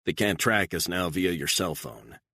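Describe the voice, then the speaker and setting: A man voicing a calm, menacing gunman who gives clipped, flat threats. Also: low-pitched, moderate pace, gravelly, no room sound, full character voice. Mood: menacing, cold.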